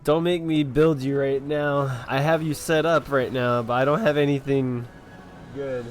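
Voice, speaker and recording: A man's voice: mid-range voice, fairly even intonation, unhurried, soft background music. Man casually commentating on a video game. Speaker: game streamer.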